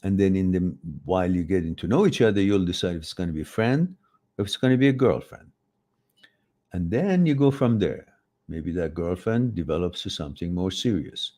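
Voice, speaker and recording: A man's voice: low-pitched, lively intonation, fairly quick, warm tone. A middle-aged man, a Farsi speaker, hosting a live advice stream and reading out viewer questions, rambling and often correcting himself. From Iran. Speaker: host.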